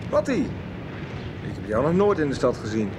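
Teasing young man amid loud traffic. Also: mid-range voice, huge pitch swings, moderate pace. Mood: teasing, casual. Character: friendly, teasing young man asking for a date. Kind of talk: 1990s radio soap acting. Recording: loud city street with traffic.

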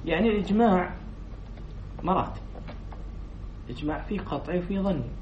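Sheikh lecturing in an echoey hall. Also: male voice, high-pitched, expressive, a little fast. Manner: quizzes students, then repeats their answers. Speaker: sheikh teaching a class. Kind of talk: lecture reading a text and explaining it. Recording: echoey room, distant dull mic, faint noise.

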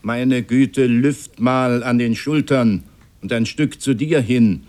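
An actor playing a small, fat ex-navy sailmaker in a radio drama, loudly and briskly giving orders. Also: male voice, medium pitch, steady tone, close to an everyday voice. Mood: brisk, gruff. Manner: loud, ordering.

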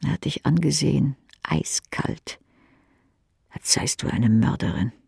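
A man narrates a novel in a husky voice with a lot of creak. He moves from emphatic phrases down to breathy near-whispers, recorded very dry. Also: mid-range voice, a little slow, a little mellow, no room sound. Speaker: audiobook narrator. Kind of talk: dramatic literary narration.

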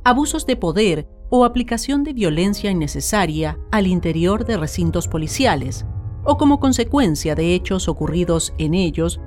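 Low-pitched Chilean woman narrating over music. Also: animated, moderate pace, darkish tone. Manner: solemn, formal. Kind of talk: scripted documentary narration for radio drama.

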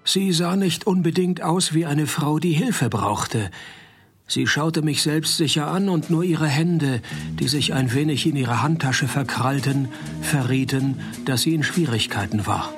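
Laconic private eye, slightly high-pitched, dry and sarcastic, narrating a hard-boiled radio comedy. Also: male voice. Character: deadpan, wisecracking male private detective narrator.

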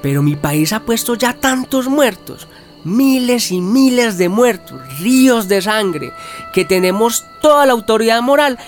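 An actor playing an earnest, indignant community activist in a radio drama, speaking emphatically in a colloquial Medellín accent. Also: male voice, very high-pitched, expressive, unhurried. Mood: emphatic. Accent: Colombian, Medellín paisa. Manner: emphatic, colloquial, rhetorical questions. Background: faint background music.

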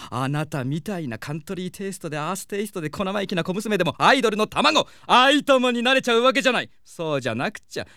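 Flamboyant, vain composer, high clear camp voice, pompous English sprinkles, comic audio drama. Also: male voice, bright, forceful tone, dry recording, studio quality, full character voice. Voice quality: camp, effeminate lilt. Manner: pompous, theatrical, peppering Japanese with English words. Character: flamboyant, conceited, effeminate male hitmaker composer.

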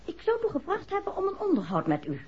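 An actress in a 1960s Dutch radio drama, playing a soft-spoken, expressive woman in a detective serial. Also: very high-pitched, fast, exaggerated voice. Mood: hesitant, puzzled. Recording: dull, noisy 1960s radio recording.

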